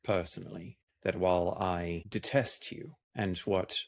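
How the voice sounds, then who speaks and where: A man's voice: low-pitched, fairly even intonation, unhurried, vocal fry. A man playing a hostile, challenging interrogator in a space colony role-play game, formal and pointed. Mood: formal, stern.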